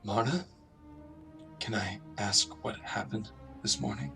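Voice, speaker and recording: A man acting an uneasy, squeamish but conscientious doctor in a radio drama, speaking formally and haltingly in internal monologue. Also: fairly low pitch, gravelly, roomy sound, far from the mic, over music. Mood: uneasy, clinical. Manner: halting, formal, self-questioning.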